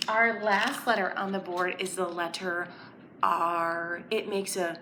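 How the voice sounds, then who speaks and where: A woman presenting a children's story time, speaking in an animated, clearly enunciated way as she invites young children to join in with letters, songs and counting rhymes. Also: fairly low pitch, slow. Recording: roomy echo, faint background noise.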